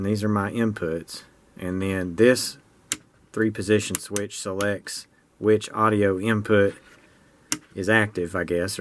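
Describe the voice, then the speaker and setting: A man's voice: lowish voice, fairly bright tone, slight background noise. An American man giving a casual, unscripted hands-on explanation of a homemade project in a plain-spoken, folksy way. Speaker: hobbyist presenter demonstrating a homemade radio project.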